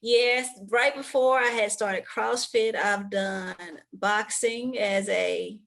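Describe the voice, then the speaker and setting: A woman with a Southern American accent answers interview questions in an upbeat, practical way. Her voice carries a gravelly, fry-edged texture at a middle pitch. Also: fairly even intonation, takes it slowly, fairly bright tone. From southern United States. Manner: upbeat, practical advice-giving. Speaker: guest.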